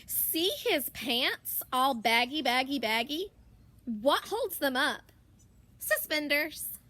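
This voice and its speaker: A woman with a high-pitched voice reads a picture book for young children. She goes very slowly, lingering on words and leaving deliberate pauses, and her intonation swings widely in an expressive teacher's storytelling style. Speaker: primary school teacher.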